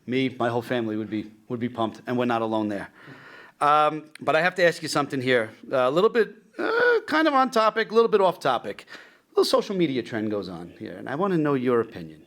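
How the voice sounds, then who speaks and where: A man's voice: medium pitch, big pitch swings, quick pace, fairly bright tone. A man in his forties hosting a school podcast interview, talking eagerly like a diehard fan, with frequent restarts. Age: 40s. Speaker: podcast host. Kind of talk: casual interview chat.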